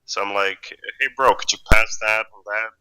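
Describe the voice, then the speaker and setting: A teenage boy from Slovenia with a Slovene accent and a deep voice, rambling through a casual, jokey podcast chat. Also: fairly even intonation, normal speed, bright, forceful tone, clean tone, rough recording. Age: teens. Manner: rambling, jokey, says "like" and "dude" a lot. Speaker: podcast co-host.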